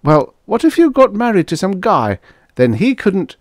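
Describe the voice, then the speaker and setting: A man's voice: high voice, huge pitch swings, moderate pace, dry recording, clean studio sound, voice pushed up. A man voicing a blunt, grumbling space trucker with colloquial talk in a science fiction story. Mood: curious, forward.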